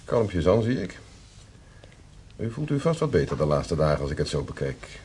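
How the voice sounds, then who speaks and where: A genial hospital professor in a radio drama, courteous and gently ironic. His low voice moves expressively as he teases and reassures, on a slightly noisy recording. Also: sing-song. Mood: kindly, reassuring. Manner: measured, gently ironic, courteous bedside talk. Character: genial male hospital professor, courteous, teasing, paternal doctor. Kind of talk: Dutch radio drama acting.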